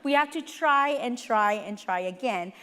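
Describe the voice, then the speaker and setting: A woman with a Samoan accent, whose first language is Samoan, giving a warm and humorous welcome speech as a faculty member at a conference. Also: a little high, expressive. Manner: warm, heartfelt and humorous, with lists spoken slowly and deliberately. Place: large conference hall. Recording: hall with long reverb.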